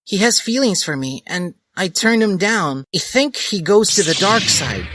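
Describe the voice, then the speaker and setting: A man's voice: sits high, animated, moderate pace, very noisy, voice pushed up. A man voicing a bossy, scornful teenage girl who leads the group, in a mocking reading of a comedic story. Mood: mocking shrill.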